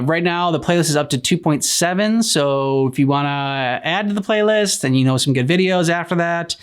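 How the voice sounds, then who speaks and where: A man co-hosting a game reaction channel, chatting casually and haltingly as he searches for his words. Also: a little high, a bit bright, clear, resonant tone. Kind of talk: casual discussion, channel chat.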